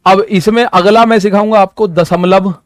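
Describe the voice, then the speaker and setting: A man's voice: high-pitched, fairly even intonation, moderate pace, clear, resonant tone, no room sound, studio quality. A young man in his twenties teaching a maths lesson for exam preparation, repeating points and talking straight to his students with everyday examples. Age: 20s. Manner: repetitive, addresses students directly with "ठीक है" and "भाई".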